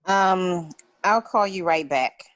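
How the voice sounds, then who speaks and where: An actress at a table read playing a candid, sympathetic office colleague. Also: female voice, on the low side, takes it slowly, dull audio. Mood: concerned, defensive.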